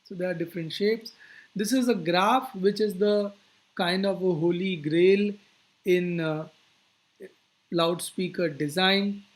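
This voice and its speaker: A physics teacher delivers an explanatory lecture at a middling pitch. The phrasing is deliberate and didactic, setting out each idea in steps and posing rhetorical questions along the way. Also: poor audio.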